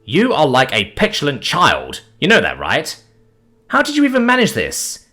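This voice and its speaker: A man's voice: fairly high pitch, huge pitch swings, normal speed, pushed and bright, voice pushed up, full character voice. A man playing an exasperated, irritable young man who grumbles and swears.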